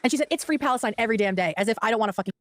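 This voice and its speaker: A woman's voice: fairly high pitch, expressive, very fast, dry recording. A woman co-hosting a political livestream, talking bluntly and casually with some swearing. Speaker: livestream co-host. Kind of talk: casual chat.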